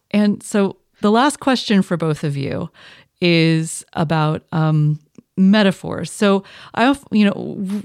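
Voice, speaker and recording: A woman's voice: sits low, darkish tone, no room sound, clean studio sound. A woman hosting a panel interview, reflective and casual, often checking in with "right?". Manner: reflective, uses tag questions "right?".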